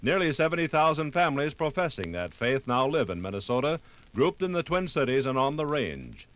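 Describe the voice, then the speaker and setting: A man reading scripted 1950s radio documentary narration in a formal, measured announcer style. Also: medium pitch, very animated, fairly bright tone.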